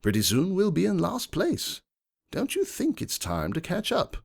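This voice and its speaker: Man as an animated, self-assured gentleman stallion. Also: sits high, a little slow, vocal fry, slightly rough, pitched above the performer's own voice, exaggerated voice. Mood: persuasive. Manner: emphatic, cutting. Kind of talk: dramatic first-person fan fiction reading.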